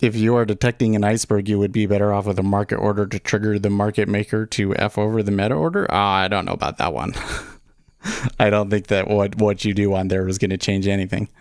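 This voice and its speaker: Male livestream host, fairly deep voice, casual and conversational, thinks aloud, dry close sound. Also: steady tone, quick pace, clean tone, no echo. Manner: conversational, often checks in with "right?". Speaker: livestream host, day trader.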